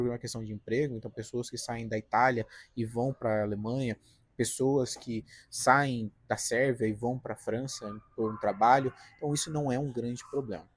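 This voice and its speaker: A man's voice: mid-range voice, husky, no room sound. A Brazilian man with a Paulista accent from the interior of São Paulo, giving casual, direct career advice in a vlog from his apartment balcony, often tagging his sentences with "né?" and "tá?". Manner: conversational, direct, frequent "né?" and "tá?" tags. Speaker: career vlogger, designer.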